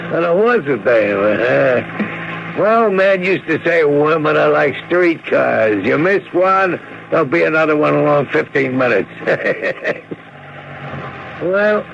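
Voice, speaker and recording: An actor in a radio drama playing a friendly, folksy American truck driver with colloquial, streetwise talk. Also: male voice, a little high, animated, takes it slowly, creaky, long pauses, a bit bright, heavily acted. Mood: kindly, jovial. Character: friendly, folksy working-class truck driver, generous and chatty. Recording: dull, faintly noisy radio broadcast.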